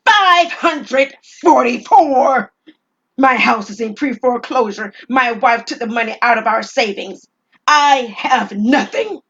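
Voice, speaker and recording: A woman voicing an unstable, desperate robber in his forties, with forceful, bitter delivery in an audio drama. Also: a little high, takes it slowly, lo-fi sound, pitched above the performer's own voice. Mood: bitter despair.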